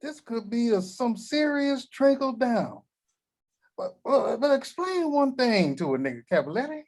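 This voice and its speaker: An actor playing a crude, cranky old grandfather at a table read, talking gruff and vulgar. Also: extremely high pitch, very animated, unhurried, darkish tone, full character voice.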